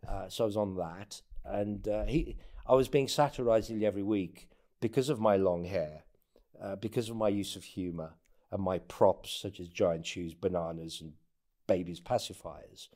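A man speaking as an interview guest in casual anecdotal talk, often halting and repeating words as he goes. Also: lowish voice, warm tone. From born in North Africa, has lived in England and the United States. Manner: halting, stammered repetitions of words. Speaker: interview guest, former presidential candidate.

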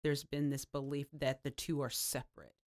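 A woman's voice: extremely low pitch, little pitch movement, normal speed, a little mellow. A woman from the United States hosting an interview, affirming her guest often and talking in long winding turns. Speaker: interview host.

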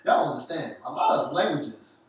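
A young man from the American South with a Southern accent tinged with Jamaican, co-hosting a casual chat in an animated, slangy way. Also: medium pitch, fairly quick. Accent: Southern US mixed with Jamaican. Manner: animated, laughing, lots of slang and fillers. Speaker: podcast co-host. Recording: echoey room, distant mic, faint hiss.

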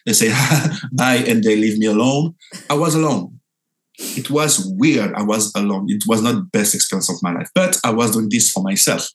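A young man with a French accent, a dancer telling his story in an interview, animated and full of halting restarts. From France. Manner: animated, lively pitch jumps, halting restarts.

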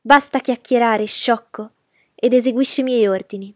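A woman's voice: a little high, moderate pace, dark tone, dry recording, clean studio sound, voice pushed up, lightly acted. A woman voicing an arrogant, spoiled young lady with an impatient, commanding manner, in an audiobook story. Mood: haughty, impatient. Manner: haughty, commanding.

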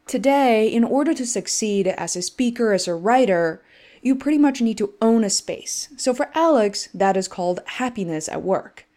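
A woman's voice: medium pitch, darkish tone. A woman from China, English her second language, hosting a podcast with an enthusiastic, earnest manner. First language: Chinese. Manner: enthusiastic, earnest, with restarts in chat. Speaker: podcast host. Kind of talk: scripted intro then interview chat.

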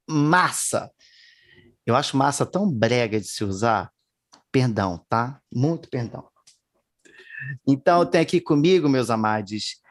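A man hosting a talk show, theatrical and joking, often apologizing. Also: on the high side. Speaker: host.

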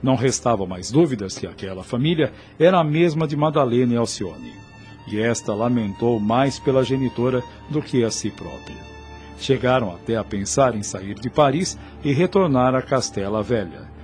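A man's voice: mid-range voice, animated, moderate pace, darkish tone. A man narrating a radio soap opera in a formal, literary storytelling manner. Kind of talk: scripted radio drama narration. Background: music under the voice.

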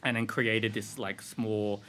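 A man's voice: fairly low pitch, little pitch movement, slow, fairly bright tone, a little hiss or hum. A man in his thirties with an Australian accent, talking casually as an interview guest and rambling through his answers with frequent "like" and "um". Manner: rambling, filler-heavy with frequent "like" and "um". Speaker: fashion designer guest.

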